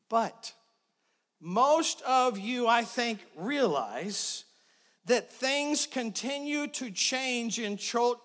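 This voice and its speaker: A man's voice: high voice, a bit bright. A man preaching a sermon as pastor while fighting a head cold, chatty with joking asides. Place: church sanctuary. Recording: long reverb of a big hall.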